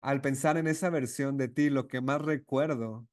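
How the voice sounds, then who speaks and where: A man interpreting a webinar conversation live, speaking in halting phrases with false starts and self-corrections. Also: medium pitch, fairly even intonation.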